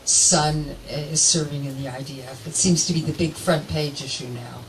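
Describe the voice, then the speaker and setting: A woman with a low voice politely asks a question from the audience. She is far from the microphone and projects her voice, with faint noise behind her. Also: steady tone, normal speed. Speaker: audience member asking a question. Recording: distant mic in a hall.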